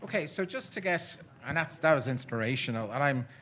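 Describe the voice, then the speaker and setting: A man moderating a conference panel under time pressure. He sounds hurried and hesitant, filling gaps with ums, and his voice has an ordinary middle pitch. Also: lively intonation, background noise. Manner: hurried, hesitant, many fillers. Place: conference hall.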